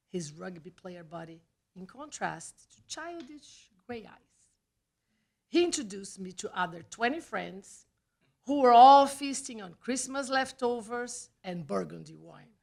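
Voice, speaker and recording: A woman with a Brazilian accent, a native Portuguese speaker, reads a personal essay aloud at a live event, with deliberate phrasing and dramatic pauses. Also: medium pitch, creaky. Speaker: writer reading own personal essay. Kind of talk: scripted storytelling read aloud at a live event. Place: small theatre, live reading event.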